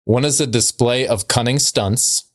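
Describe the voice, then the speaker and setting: A man's voice: mid-range voice, unhurried. A man co-hosting a comedy podcast, chatting casually and telling jokes in a laid-back, wry way. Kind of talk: casual banter and joke telling.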